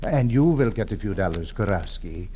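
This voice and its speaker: An actor playing an earnest middle-aged immigrant janitor who speaks broken English, in 1940s radio acting style. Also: male voice, lowish voice, lively intonation, moderate pace, mellow, husky. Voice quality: broken English, humble and eager.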